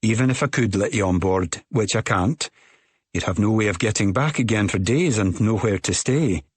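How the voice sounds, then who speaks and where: A man's voice: lowish voice, normal speed, breathy edge, no echo. A man voicing a tall, weathered, middle-aged Scottish ferry woman who speaks in a curt, matter-of-fact way. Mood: brusque.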